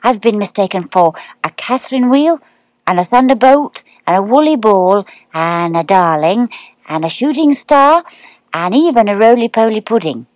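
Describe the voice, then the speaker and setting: Eager little baby owl boy, high and lively, put on by a woman reading aloud; storybook character, dry close sound. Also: normal speed, no room sound, close to an everyday voice, low-quality recording.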